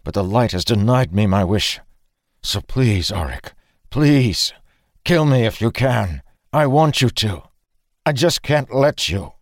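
A man's voice: on the low side, expressive, moderate pace, darkish tone, no echo, studio quality, pitched above the performer's own voice, lightly acted. A man voicing an anguished, guilt-ridden warrior made young again, earnest and pleading, in a fantasy audiobook.

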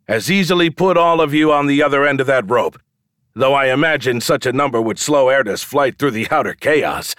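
A man performs a brash male showman from an audiobook, working a crowd for bets. He calls out loudly in a goading, declamatory way, with his pitch lifted. The language is coarse and the recording is dry. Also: expressive, moderate pace, dry recording, close to an everyday voice. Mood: commanding, bantering. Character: brash, foul-mouthed male showman hustling wagers from a crowd. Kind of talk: audiobook character dialogue.

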